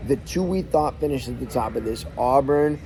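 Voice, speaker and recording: A man's voice: mid-range voice, normal speed, gravelly, low-quality recording. A man co-hosting a podcast, chatting energetically in a casual recap of sports results and leading the talk. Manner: energetic, talkative, leads the segments. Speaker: podcast co-host.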